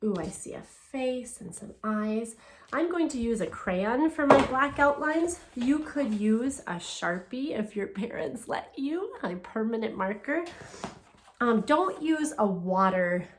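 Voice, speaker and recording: A woman teacher leading a read-aloud and art lesson for children, speaking in simple, gentle, encouraging phrases. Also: a little high, expressive, measured pace, slight background noise. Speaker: elementary school teacher.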